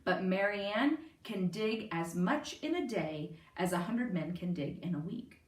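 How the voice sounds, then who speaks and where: A woman's voice: on the low side, animated, a little slow. A woman voicing a confident, boastful steam shovel workman in a picture-book reading. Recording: roomy, distant microphone.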